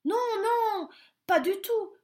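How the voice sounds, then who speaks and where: A woman's voice: sits high, animated, a little slow, pitched above the performer's own voice. A woman voicing a clumsy, timid little bear cub who is eager but a bit sheepish.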